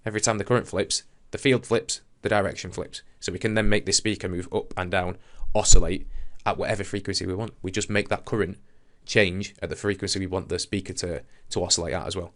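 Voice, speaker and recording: A man's voice: sits low, fairly even intonation, fast, few pauses, fairly bright tone. A man teaching a science lesson in an informal, explanatory way, talking conversationally and thinking aloud as he demonstrates.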